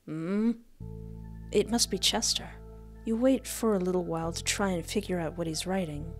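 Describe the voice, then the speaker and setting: A playful, expressive woman doing a let's play, reading game text aloud and commenting, close on a desk microphone. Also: on the low side, normal speed. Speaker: gaming streamer. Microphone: close desk microphone with headset. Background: game music.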